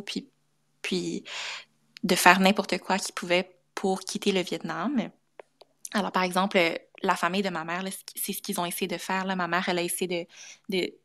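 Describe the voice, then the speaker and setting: A young woman with a Québécois accent, a medical student guest, speaking casually with many pauses and restarts. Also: fairly low pitch. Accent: Québécois French. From Canada. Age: young adult. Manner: thoughtful, many fillers and restarts. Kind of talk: casual interview talk.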